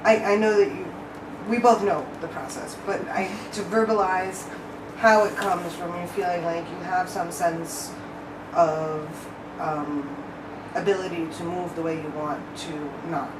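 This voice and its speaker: A woman's voice: on the low side, fairly even intonation, unhurried. A woman interviewer asking an unscripted question hesitantly, searching for the right words. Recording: echoey, distant mic, noisy background.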